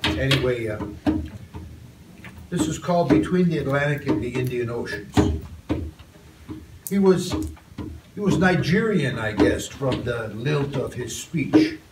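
An older man, a guest poet, reading a poem and chatting softly with students in a school classroom. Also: mid-range voice, animated, unhurried, long pauses. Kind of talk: poetry reading and chat. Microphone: far phone camera. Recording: roomy, distant, noisy phone recording.

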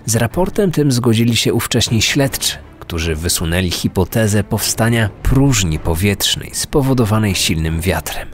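Low-pitched male true-crime narrator. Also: animated, moderate pace, few pauses. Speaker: podcast narrator. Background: faint music.

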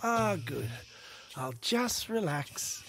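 A man's voice: high-pitched, lively intonation, extremely slow, creaky, full character voice. A man with an Australian accent voicing a playful, put-upon cartoon dog dad in an exaggerated, mock-serious, exasperated way, for a children's show. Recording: loud music behind the voice.

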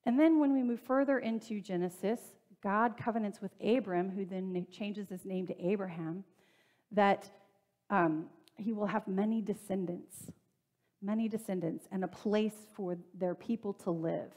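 A woman pastor in her thirties giving a relaxed, conversational sermon in a church sanctuary, with everyday fillers and friendly asides to the congregation. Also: medium pitch, measured pace. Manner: conversational, plain-spoken preaching with fillers and asides.

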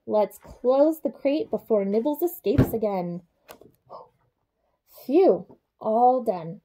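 A young adult woman reading a children's picture book aloud in an expressive way, speaking to young children. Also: on the high side. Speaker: childcare supervisor reading a bedtime story.

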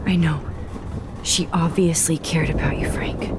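An actress in a video game playing a sympathetic young American student, speaking in a gentle, hesitant, somber way. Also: female voice, fairly even intonation, unhurried, noisy background. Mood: consoling. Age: young adult. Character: somber, sympathetic young female student bearing bad news. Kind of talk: dramatic video game acting. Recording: loud rumbling storm ambience behind.

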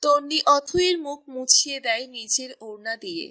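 Female narrator for romantic audio fiction, high and bright. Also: expressive, moderate pace. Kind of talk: scripted romantic story narration.

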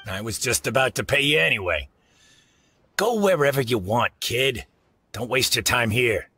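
A man's voice: mid-range voice, lively intonation, moderate pace, bright, forceful tone, slight background noise. A man playing a kindly, blunt, fatherly market merchant in a game cutscene, plainspoken and emphatic. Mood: gruffly kind. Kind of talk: video game cutscene acting.

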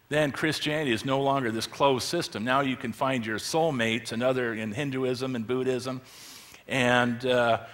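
A man's voice: mid-range voice, a bit bright. Middle-aged guy preaching on a church stage, casual lecture, rhetorical questions, long hall echo. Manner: conversational, many fillers and rhetorical questions; moves about gesturing with a remote.

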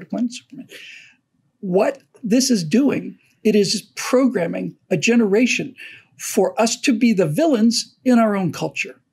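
An older man hosts a casual commentary chat from a home setup. His voice sits fairly high with a husky edge. He tells stories animatedly and often restarts his sentences. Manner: animated storyteller, frequent restarts. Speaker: host.

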